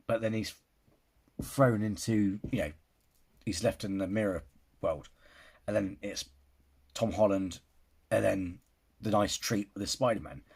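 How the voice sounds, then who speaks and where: Guy, husky voice, rambling film-reaction vlogger, casual. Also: on the low side, a little mellow. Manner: rambling, restarts sentences, often says "you know". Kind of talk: casual opinion vlog.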